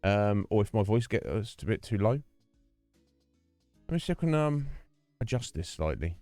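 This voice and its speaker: A man's voice: fairly low pitch, sing-song, normal speed, dark tone, dry recording, soft background music. A man hosting a livestream, chatting casually and rambling, restarting his sentences as he goes. He talks into a desk microphone with a noise gate. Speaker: livestream host. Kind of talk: casual livestream chat to viewers.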